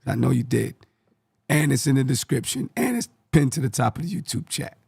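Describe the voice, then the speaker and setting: A man hosting a casual sports talk show, his voice scratchy and hoarse, speaking in a laid-back way. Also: medium pitch, sing-song, fairly quick, darkish tone, no echo. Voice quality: scratchy, gravelly voice. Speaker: podcast host.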